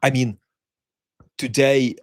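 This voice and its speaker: A middle-aged man, born in the Soviet Union with Russian as his first language, musing aloud as he answers viewer questions, pausing often with ums. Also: medium pitch, steady tone, no echo, studio quality. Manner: measured, thinking aloud with many ums and pauses. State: unwell, speaking while cared for. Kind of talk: reflective solo chat.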